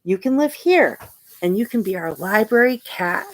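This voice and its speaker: A woman reading a children's picture book aloud expressively, pausing to ask her young listeners questions and point things out. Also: mid-range voice, expressive. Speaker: storytime reader and teacher.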